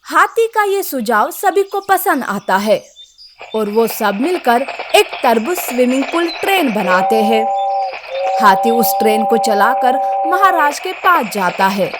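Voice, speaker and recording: Female storyteller, high voice, slow pace, children's tale narration, noisy birdsong ambience. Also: sing-song.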